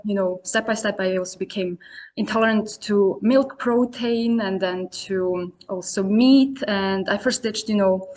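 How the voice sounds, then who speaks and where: A woman originally from Serbia talks through her story in relaxed, conversational English. She hesitates often, leaning on "you know" and restarting sentences as she goes. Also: medium pitch. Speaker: podcast guest, community founder. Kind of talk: casual interview answers.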